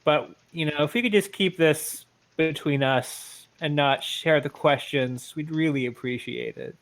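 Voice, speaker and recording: Hesitant, slightly high-voiced man. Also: a little slow. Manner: apologetic and hesitant. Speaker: player.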